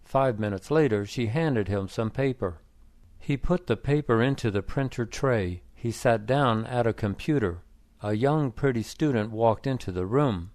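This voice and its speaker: Male narrator for learner stories, mid pitch, close dry mic. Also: a little slow, darkish tone, no room sound. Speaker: story reader. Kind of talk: scripted narration of short stories.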